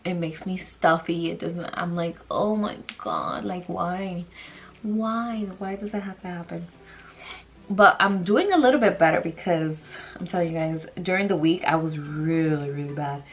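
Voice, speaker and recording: A woman's voice: on the low side, unhurried. A young woman in her twenties with a stuffy nose, chatting casually through a makeup tutorial in a small room, rambling with lots of fillers. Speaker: beauty vlogger. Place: small bedroom vanity indoors.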